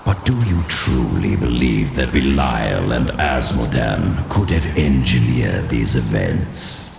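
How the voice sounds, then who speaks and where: A man voicing an ancient, scheming sorcerer who speaks slowly and condescendingly with a deep, gravelly voice. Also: expressive, heavily acted. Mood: persuasive. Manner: slow, measured, condescending. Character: ancient scheming male Horadric sorcerer, silky and proud. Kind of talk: video game cutscene dialogue. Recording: roomy game mix with music.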